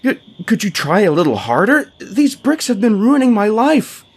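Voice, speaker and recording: A man's voice: high-pitched, sing-song, normal speed, husky, pitched above the performer's own voice, heavily acted. A man voicing a fed-up, demanding little girl in a comic storybook tale, indignant and exasperated. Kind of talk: comic storybook character reading.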